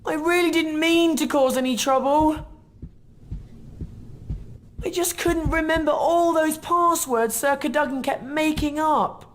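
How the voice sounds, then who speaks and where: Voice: high-pitched, a little slow, pauses often, a little hiss or hum. An actor playing an anxious, forgetful young schoolboy in a video game, with a worried, plaintive manner. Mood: apologetic.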